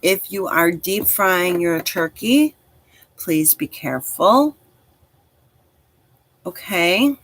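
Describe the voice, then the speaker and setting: A woman's voice: fairly low pitch, animated, slow, pauses often, fairly bright tone. A woman in her forties chatting casually on a livestream as host, speaking directly to listeners in an encouraging, reassuring way. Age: 40s.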